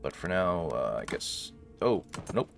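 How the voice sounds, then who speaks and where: A man's voice: sits low, very animated, unhurried, vocal fry, faint music behind. A man giving casual, sardonic, rambling gameplay commentary, with some swearing. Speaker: gaming video host.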